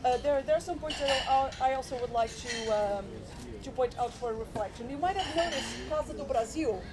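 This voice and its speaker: A woman from Portugal with a high voice asks a long, academic question from the audience. She talks in an earnest way and often restarts her sentences. Steady background noise runs under her voice. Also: moderate pace. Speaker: audience member asking questions. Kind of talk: spontaneous question at a public forum.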